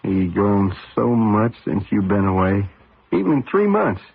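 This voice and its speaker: A man's voice: on the low side, sing-song, a little slow, vocal fry, husky, narrow, tinny audio, exaggerated voice. An actor playing a weary, affectionate husband and father, casual and fond, in an old radio drama. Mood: tired, fond. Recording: band-limited noisy old radio recording.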